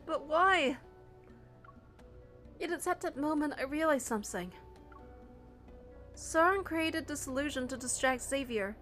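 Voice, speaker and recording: A woman narrator reading a first-person story from a script in an expressive, suspenseful, dramatic way. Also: sits high, a little slow, long pauses, faint music behind.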